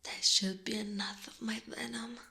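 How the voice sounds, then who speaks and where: A woman voicing a giant, possessive, seductive spider monster in a breathy, whispered ASMR roleplay. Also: a little high, fairly even intonation, bright, forceful tone, slight background noise. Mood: soothing menace. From Italy. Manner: breathy whispering, slow and deliberate.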